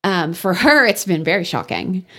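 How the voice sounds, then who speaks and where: A woman from the Texas Panhandle, a guest in a radio interview, talking loudly and earnestly, leaning hard on key words. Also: on the low side, big pitch swings, normal speed. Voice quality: loud.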